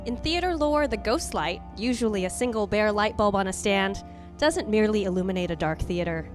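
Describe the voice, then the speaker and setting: A woman's voice: medium pitch, brisk, soft background music. A woman giving a scripted introduction as host of an old-time radio style drama show.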